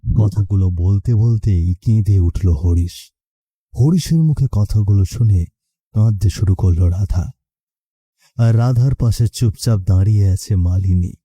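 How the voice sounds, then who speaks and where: A man's voice: lowish voice, normal speed, mellow, husky, dry recording. A man narrating a scripted story in a dramatic storytelling style.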